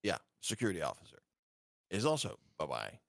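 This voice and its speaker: A man playing a tabletop game, speaking in a slow, wry way. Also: low-pitched, wildly swooping pitch, creaky, no room sound, clean studio sound. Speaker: player.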